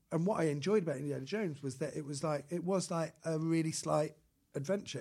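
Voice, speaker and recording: A man's voice: on the high side, mellow, husky. Rambling enthusiastic man talking films. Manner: rambling, enthusiastic, many fillers and restarts. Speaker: podcast co-host. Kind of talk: casual chat about films.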